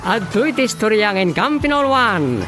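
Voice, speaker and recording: A man's voice: high voice, sing-song, a little slow. A man with a Filipino accent delivers dramatic scripted narration as a radio drama announcer. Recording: prominent music under the voice.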